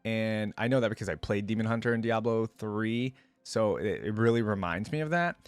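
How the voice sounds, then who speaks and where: A man's voice: fairly low pitch, moderate pace, smooth, no room sound. A man giving casual, informal reaction commentary, enthusiastic and thinking aloud as he goes. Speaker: reaction video host.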